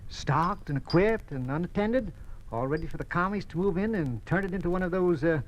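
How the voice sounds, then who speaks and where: A man's voice: high voice, fairly quick, lightly acted, low-quality recording. Gloomy, folksy country neighbor man played by an actor. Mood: gloomy, ominous. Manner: pessimistic, folksy, hesitant. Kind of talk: old-time radio drama acting. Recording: old radio recording, faint noise.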